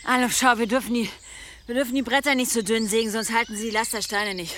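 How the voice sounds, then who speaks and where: An actress playing a practical village woman at work in a German audio drama, bantering and brisk. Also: fairly high pitch, fairly even intonation, background noise, close to an everyday voice. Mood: brisk, teasing.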